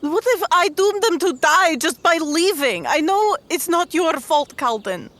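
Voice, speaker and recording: A woman's voice: very high-pitched, animated, a little slow, fairly bright tone, dry recording, exaggerated voice. A woman playing an earnest, guilt-ridden young female adventurer in a tabletop roleplaying game. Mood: guilty, distraught. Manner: earnest, emotional.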